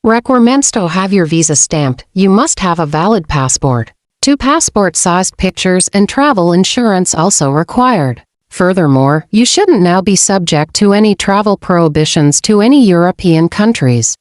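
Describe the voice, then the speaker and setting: Female host, lowish voice, steady scripted read, dry close mic. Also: dry recording. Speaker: channel host. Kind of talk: scripted informational narration.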